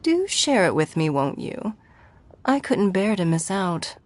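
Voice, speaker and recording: A woman voicing a dignified, courteous lady leader in a video game, speaking in a formal, measured and gracious manner. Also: medium pitch, very animated. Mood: politely warm.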